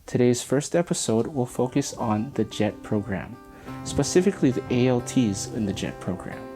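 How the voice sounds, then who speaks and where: Male host, scripted intro, earnest and measured, mid-range voice, guitar music clearly underneath. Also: mid-range voice, animated. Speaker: show host.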